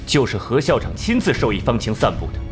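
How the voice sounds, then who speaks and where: A man playing a sharp, cocky detective in an animated drama, smugly laying out deductions with theatrical rhetorical questions. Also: medium pitch, quick pace, over music, lightly acted. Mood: incisive.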